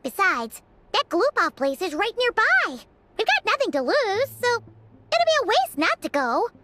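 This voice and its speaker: A woman voicing a small, childlike fairy companion with a squeaky, chirpy, fretful and chatty voice in a video game cutscene. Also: huge pitch swings, unhurried, heavy background noise, exaggerated voice. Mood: pensive. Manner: chirpy, refers to herself in third person. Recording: music playing behind the voice.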